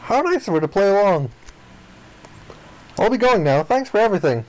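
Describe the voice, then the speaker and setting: A man reading the narration and dialogue of a visual novel aloud in an expressive style. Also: high voice, normal speed, slight background noise, low-quality recording.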